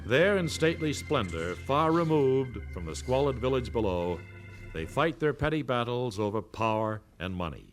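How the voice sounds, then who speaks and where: A man's voice: medium pitch, very animated, normal speed, soft background music, close to an everyday voice. A man voicing a melodramatic soap opera narrator in a radio parody.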